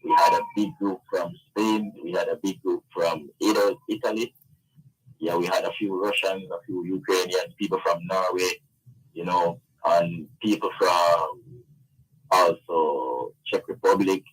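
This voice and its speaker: A man's voice: sits low, wildly swooping pitch, unhurried, husky, poor audio. A man talking casually as an interview guest, often dropping in "you know". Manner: conversational, frequent "you know". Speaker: interview guest, event organiser.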